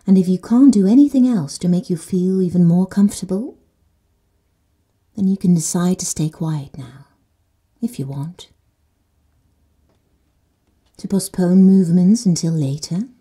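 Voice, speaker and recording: A woman leads a guided sleep meditation in a slow, soothing manner. She often drops to soft whispers. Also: fairly low pitch, slightly rough. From Netherlands.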